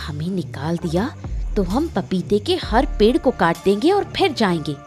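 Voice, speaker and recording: A woman's voice: mid-range voice, big pitch swings, moderate pace, darkish tone, soft background music, lightly acted. A woman voicing a cunning, ruthless, self-assured schemer of a wife in a Hindi cartoon story. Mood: bossy, smug. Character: scheming, cunning, ruthless wife plotting against her in-laws.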